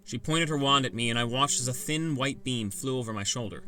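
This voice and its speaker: A man's voice: mid-range voice, fairly quick, sharp tone. A man narrating a first-person fantasy adventure story in a scripted, dramatic audiobook style.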